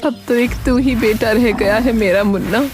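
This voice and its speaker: A woman's voice: fairly high pitch, normal speed, close to an everyday voice. Woman voicing an anxious, tender elderly village mother. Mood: worried, pleading. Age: older. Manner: pleading, hesitant. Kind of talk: Hindi animated story dialogue. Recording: music under the voice.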